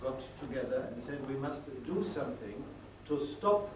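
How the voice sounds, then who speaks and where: A man with a German accent, a native German speaker, answering interview questions in a halting, deliberate way with many pauses. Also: medium pitch, animated. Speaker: interviewed guest, international official. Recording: echoey distant 1990s TV studio audio with noise.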